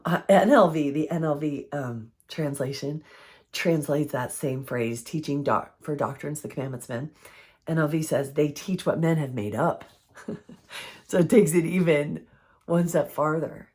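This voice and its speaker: A woman with a froggy, hoarse voice from recent illness, giving an earnest, conversational faith-based health teaching. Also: low voice, normal speed, darkish tone. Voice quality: froggy, strained voice.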